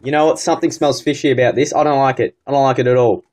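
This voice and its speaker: Pushy, thin-skinned scam caller, a man acting it out, whining and wheedling in a mid-pitched voice, repetitive. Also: fairly even intonation, very fast, darkish tone, natural voice. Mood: hurt, offended. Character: pushy, easily offended phone scammer in first week. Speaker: prank caller. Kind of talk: improvised prank phone call.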